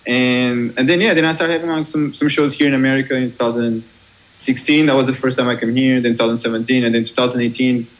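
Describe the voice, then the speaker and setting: A man's voice: mid-range voice, fairly even intonation, rough recording. A young Portuguese man with a Portuguese accent, a DJ guest talking casually in an interview, restarting his sentences and laughing easily. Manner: halting, many restarts and fillers, laughs easily. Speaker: guest DJ and music producer.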